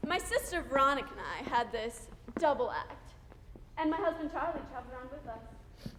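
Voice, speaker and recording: A woman tells her story with animated emphasis in a high, bright voice that moves widely up and down. The recording places her in a large hall. Her words trail into a long, echoing reverb. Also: normal speed, slight background noise. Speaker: student performer in reader's theater. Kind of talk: stage monologue in a musical scene. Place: large auditorium stage. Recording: echoey auditorium from far off.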